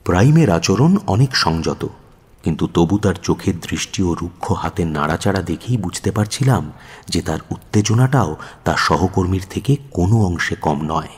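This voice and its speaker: A man's voice: sits low, animated, moderate pace, slightly rough. A man playing the detective's watchful companion, narrating a radio drama in a composed storytelling style. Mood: observant.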